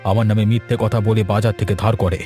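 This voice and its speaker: A man playing a worried, put-upon village gentleman in a cartoon story, speaking with resigned authority. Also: on the low side, level tone, fairly quick, faint music behind, close to an everyday voice.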